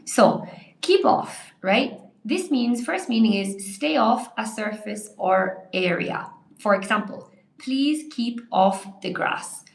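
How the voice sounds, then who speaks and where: A young woman teaching a live online English lesson, a little hoarse, praising viewers by name as she explains. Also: on the high side, expressive, slow, echoey room. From Ireland.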